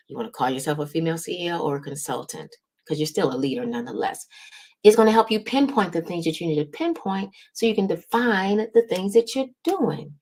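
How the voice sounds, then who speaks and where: Woman, slightly low pitch, emphatic, motivational coaching delivery, business strategy host, frequent check-in tags. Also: darkish tone. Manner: emphatic, checks in with "right?" and "okay?". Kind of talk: motivational coaching talk to audience.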